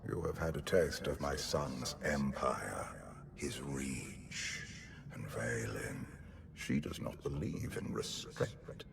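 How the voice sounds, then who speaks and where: An actor playing an ancient, imperious emperor in a video game, speaking deliberately in a manipulative, ominous way with a deep gravelly voice. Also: male voice, heavy background noise, heavily acted. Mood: ominous, measured.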